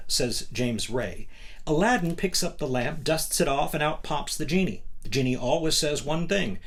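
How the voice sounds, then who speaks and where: A man hosting a motivational book reading, emphatic and instructive, with conversational asides and rhetorical questions. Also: medium pitch. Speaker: host reading a book aloud.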